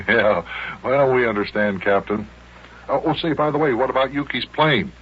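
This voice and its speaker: An actor playing a kindly, sensible guardian in an old-time radio serial, mild and gently chiding. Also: male voice, mid-range voice, moderate pace, close to an everyday voice. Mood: agreeable. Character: kindly, sensible grown man, patient guardian. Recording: muffled, slightly noisy old radio.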